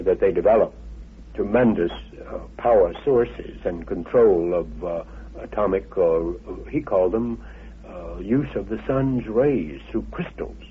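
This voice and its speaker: A very husky, rough-edged man speaks in a low voice on a muffled, narrow-band old radio recording. He explains things in an interview, feeling his way through each thought with deliberate, halting phrasing. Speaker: interviewee recounting his father's teachings.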